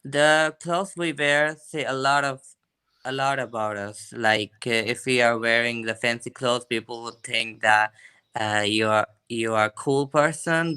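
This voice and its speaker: A young man from Pakistan answering practice speaking-test questions over a video call. He pauses often and fills gaps with "uh" and "um". His voice is forceful and smooth. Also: mid-range voice. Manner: hesitant, many fillers.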